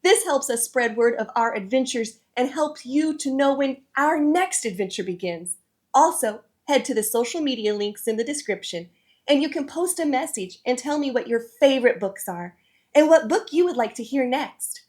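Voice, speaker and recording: Higher-pitched woman hosting a children's show. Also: expressive, moderate pace, a little mellow. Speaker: host of children's read-aloud show. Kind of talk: scripted presenting to children.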